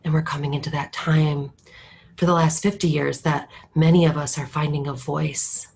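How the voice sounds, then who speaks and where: A woman leading a guided meditation in a calm, unhurried way, her voice drifting between a soft murmur and a whisper. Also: low voice, creaky, slightly rough.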